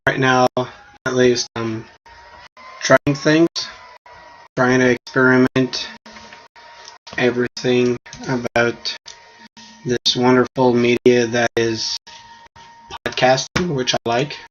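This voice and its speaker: A man's voice: mid-range voice, flat delivery, takes it slowly, long pauses, fairly bright tone. A man whose first language is French, hosting a casual solo podcast and thinking aloud with small restarts. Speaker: podcast host. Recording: dull lo-fi stream audio with faint noise.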